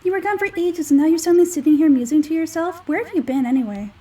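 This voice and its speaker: A woman voicing a small, childish, excitable fairy companion from a game, whiny and easily indignant. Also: extremely high pitch, fast, distant mic, pitched above the performer's own voice. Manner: whiny, exclaiming, refers to itself in third person.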